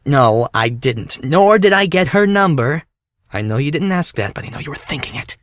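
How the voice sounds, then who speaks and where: Casting: man as young reluctant hero for audio drama, animated, sardonic, fed up, recorded close and dry. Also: a little high, moderate pace, no room sound. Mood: uneasy, sardonic. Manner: sarcastic, exasperated. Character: exasperated, sarcastic, reluctant young male hero. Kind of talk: fan audio drama acting.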